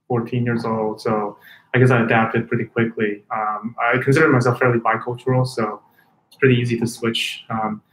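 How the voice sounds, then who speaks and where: In a roomy, echoing space, a man from China answers casual interview questions as a tech executive. His voice sits at a middling pitch, and he feels his way through sentences with pauses and repeated words. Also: a bit bright.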